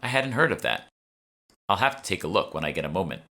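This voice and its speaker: A man's voice: low-pitched, sing-song, fast talker, sharp tone, heavily acted. A man voicing a polite, earnest woman curator who explains things courteously in an audiobook. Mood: politely curious. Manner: courteous, informative, eager to please. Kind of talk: audiobook character dialogue.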